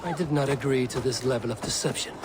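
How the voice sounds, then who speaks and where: A man playing a stern, forthright Viking warrior in a dramatic video game, speaking in a measured, blunt way. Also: medium pitch, heavy background noise, natural voice. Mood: stern, disapproving.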